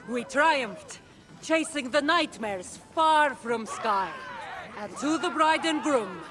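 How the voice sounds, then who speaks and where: Unhurried warrior woman. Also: fairly high pitch, a bit bright. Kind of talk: video game cutscene acting, partly rhymed toast.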